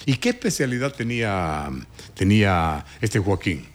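A man hosting a morning radio talk show, commenting with indignant emphasis and sudden rises in pitch. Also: big pitch swings, unhurried.

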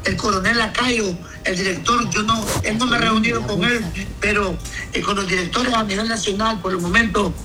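A man's voice: high-pitched, fairly even intonation, moderate pace, over music, low-quality recording. A man, a pastor answering interview questions emphatically and often asking if he's understood. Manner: emphatic, repeats "me entiende".

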